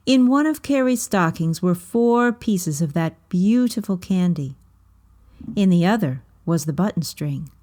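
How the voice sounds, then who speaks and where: A woman reading a children's novel aloud as storybook narration, with measured, deliberate phrasing. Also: mid-range voice, a little mellow.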